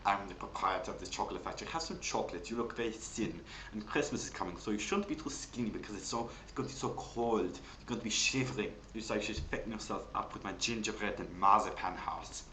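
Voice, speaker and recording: Man as warm German chocolatier, coaxing, brisk, German accent, roomy recording. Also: on the low side, slight background noise, natural voice. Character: warm, coaxing German chocolate factory owner named Hans.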